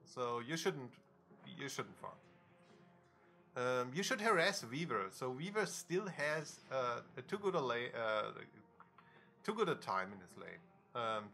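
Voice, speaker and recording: Bright-voiced man casting a game. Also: mid-range voice. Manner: critical, dry asides, frequent ums. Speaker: game caster. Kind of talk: casual solo game commentary.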